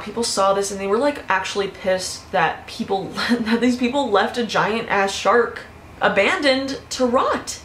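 A young woman in her twenties chats to her camera from a bedroom, animated and casual, swearing and laughing as she goes. Her voice sits at a middling pitch. The room gives it a noticeable echo over a faint background hiss. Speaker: YouTuber. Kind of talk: casual vlog storytelling. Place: bedroom with fairy lights.

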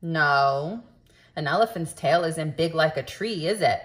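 A woman reading a children's book aloud in a deliberate, expressive way, as if speaking directly to young listeners. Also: deep voice. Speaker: storybook reader. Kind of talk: children's read-aloud narration.

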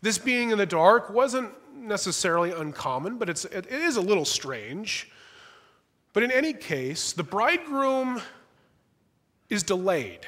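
A man's voice: high-pitched, sing-song, measured pace, fairly bright tone. A young man preaching a sermon as pastor in a church sanctuary, conversational with light humor and small restarts. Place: church sanctuary, large indoor room. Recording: long church reverb.

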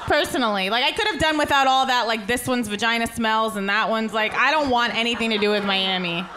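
A woman's voice: fairly high pitch, brisk, bright, forceful tone. A woman hosting a casual live comedy podcast, chatting and teasing playfully as she takes audience questions. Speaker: podcast host. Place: theatre stage before an audience. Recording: live stage with clear crowd noise. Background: audience noise.

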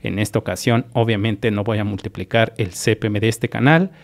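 A man's voice: fairly low pitch, fairly even intonation, brisk, gravelly. A man from Mexico hosting his own channel, methodically explaining earnings over a screen recording and speaking straight to his viewers. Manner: repetitive, methodical, addresses viewers directly. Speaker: YouTube channel host.

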